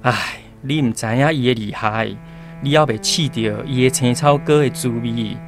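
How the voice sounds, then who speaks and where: An actor with a Taiwanese accent playing an alarmed market acquaintance who scolds and warns, in a radio drama. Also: male voice, medium pitch, moderate pace, faint music behind, natural voice. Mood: scolding, alarmed.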